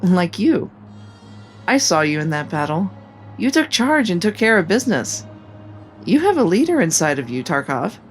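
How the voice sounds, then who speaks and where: A woman's voice: fairly low pitch, very animated, normal speed, long pauses, faint music behind. A woman playing a supportive, teasing female soldier in a sci-fi audio drama, talking casually. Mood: reassuring.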